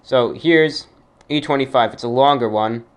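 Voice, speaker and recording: A man's voice: mid-range voice, normal speed. A man hosting an informal radio-style show, speaking like an announcer with emphatic delivery. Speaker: show host.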